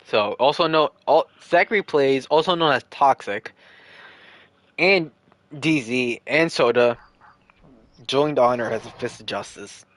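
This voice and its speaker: A man's voice: medium pitch, lively intonation, unhurried, long pauses, a bit bright, dry recording. A man in his early twenties answering questions on a livestream, earnest and rambling, repeating himself as he thinks aloud. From United States. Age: 20s. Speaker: streamer. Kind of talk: casual livestream Q&A.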